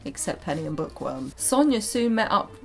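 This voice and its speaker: A woman's voice: mid-range voice, big pitch swings, moderate pace, creaky, soft background music. A young British woman reading her own childhood story aloud with casual, playful, self-mocking commentary. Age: young adult. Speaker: vlogger.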